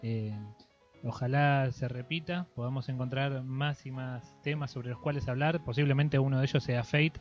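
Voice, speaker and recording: A man's voice: mid-range voice, steady tone, moderate pace. An Argentine man hosting an interview in a casual, chatty style. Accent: Argentine Spanish. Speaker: interviewer, channel host. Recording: dull lo-fi audio with music behind. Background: music.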